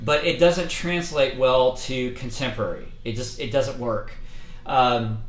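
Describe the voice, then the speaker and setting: Male vocal coach, mid pitch, casual livestream lesson full of fillers, roomy echo. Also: a little slow. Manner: relaxed, conversational, many fillers. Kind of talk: casual instructional talk. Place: indoors, livestream setup.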